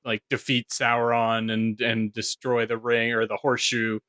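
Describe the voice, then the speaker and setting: A man's voice: mid-range voice, completely flat delivery, unhurried, pushed and bright, clear, resonant tone. A man chatting casually as part of a podcast panel. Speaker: podcast producer joining the panel. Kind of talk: casual chat.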